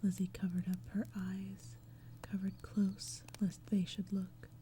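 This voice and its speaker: A woman reading poetry as ASMR in a breathy whisper, slowly and gently, with measured phrasing. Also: mid-range voice. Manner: slow, gentle, measured storytelling. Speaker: ASMR reader. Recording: steady background ambience, crackling fire, forest sounds. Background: crackling fire and forest sounds.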